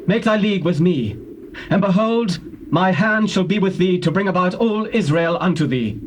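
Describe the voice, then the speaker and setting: Casting: forceful biblical commander, high voice, theatrical archaic delivery, slightly noisy tape recording. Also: male voice, lively intonation, normal speed. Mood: urging. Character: Abner, forceful male army commander pledging allegiance. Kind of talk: dramatized Bible reading. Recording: noisy old cassette tape transfer.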